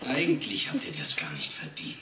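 Man playing a low, disdainful lion villain. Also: wildly swooping pitch, normal speed, heavily acted. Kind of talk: live comedic audio play reading on stage. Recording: very noisy, roomy phone recording from audience.

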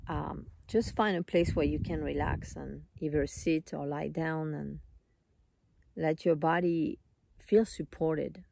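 A meditation teacher leading a live guided meditation in an unhurried, soothing manner, in short phrases with long pauses. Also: medium pitch, steady tone, creaky, darkish tone.

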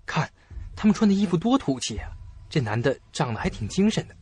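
A man playing a bold, teasing, excitable young thrill-seeker in a horror audio drama. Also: a little high, brisk, breathy edge, voice pushed up. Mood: excited.

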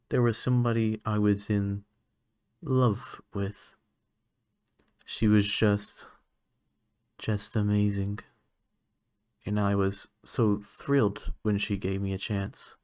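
A man's voice: on the low side, darkish tone, dry recording, clean studio sound. A man playing a lonely, earnest alien scientist in an ASMR roleplay monologue, talking in a hesitant, musing way. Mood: wistful, confessional.

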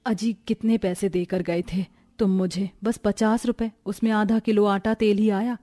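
A woman voicing a poor, patient young married woman in a cartoon family drama, with a weary, gently pleading manner. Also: medium pitch, steady tone, moderate pace, natural voice. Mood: weary complaint. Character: poor, patient, self-sacrificing young married sister-in-law.

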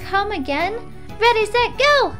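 A woman voicing a cheerful, energetic, childlike girl from a visual novel, bubbly in manner. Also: very high voice, measured pace, faint music behind, voice pushed up, full character voice. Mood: excited, eager. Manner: bubbly, speaks of herself in third person.

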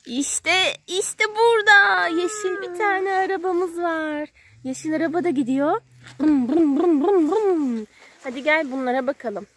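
A woman with a high voice talks to a small child during play. She goes slowly, repeating simple phrases and questions in a playful sing-song way. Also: a bit bright, slight background noise. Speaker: parent playing with a young child on a kids' channel. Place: outdoors at a sand and gravel pile.

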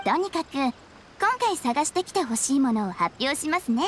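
An actress playing a polite, eerie contest host in an anime game, speaking formally and teasingly, like someone telling ghost stories. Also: female voice, sits high, a little hiss or hum, heavily acted. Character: polite, eerie female contest host who relishes scaring people.

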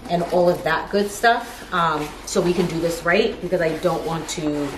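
Low-pitched chatty woman in an echoey room. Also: moderate pace. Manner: chatty, informal, frequent "like" as filler. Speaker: lifestyle vlogger. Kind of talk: casual chat to camera. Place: living room of an apartment, indoors. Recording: roomy echo, clear background noise.

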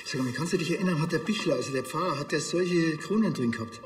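Man playing blunt, dry cop, higher-pitched. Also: animated, fast, roomy sound, long reverb tail, over music, close to an everyday voice. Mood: grumbling. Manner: dry, curt, colloquial. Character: blunt, sardonic small-town policeman on a case. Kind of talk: TV crime comedy acting.

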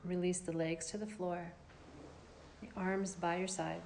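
A woman leading a guided yoga relaxation as an instructor, speaking in a calm, measured, gently directive way with long pauses. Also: fairly low pitch, gravelly, slight background noise.

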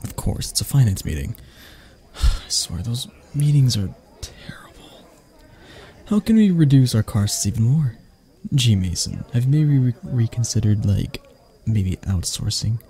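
A man acts out an arrogant male boss for an ASMR audio drama. His voice is breathy and gravelly, swinging widely in pitch while keeping an unhurried pace. He comes across as curt and sardonic, with anxiety underneath. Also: very animated, warm tone. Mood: irritated, sardonic. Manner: curt, sardonic, stammering when flustered. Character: arrogant, grumpy male CEO, secretly anxious and vulnerable. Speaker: voice actor.

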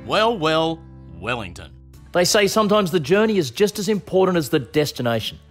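This is an Australian man presenting a road-trip travel show in a playful, joking way. His voice sits fairly high for a man and swings up and down in lively fashion. Music plays clearly underneath. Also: moderate pace. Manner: jokey, playful. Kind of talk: scripted voice-over narration and casual chat.

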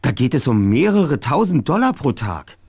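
An actor playing a precocious, analytical boy detective in an adventure game, speaking precisely and matter-of-factly with a husky voice. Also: medium pitch, very animated, normal speed, darkish tone, slight background noise. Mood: intrigued. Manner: precise, articulate, matter-of-fact. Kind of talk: scripted game dialogue.

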